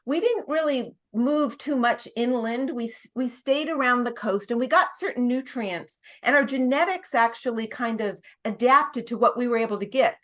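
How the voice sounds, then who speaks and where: A woman's voice: fairly high pitch, a bit bright. A middle-aged American woman, a nurse practitioner as a guest, explaining at length in casual conversation, with many restarts and small laughs. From United States.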